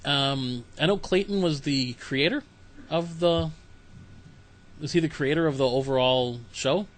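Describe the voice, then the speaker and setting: Chatty male podcast host, fairly high voice. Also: unhurried, slight background noise. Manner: casual, chatty, loose phrasing. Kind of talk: casual podcast interview.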